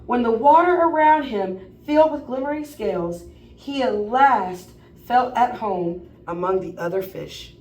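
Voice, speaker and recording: A woman teacher reading a children's picture book aloud in an expressive storytelling style. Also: a little high, a little slow. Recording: roomy, distant mic, faint noise.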